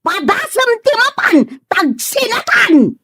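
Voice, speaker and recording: A woman's voice: very high-pitched, very animated, takes it slowly, fairly bright tone, no room sound, studio quality, exaggerated voice. A woman playing a harsh, disapproving, nagging mother in an audio comedy drama. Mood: stern warning.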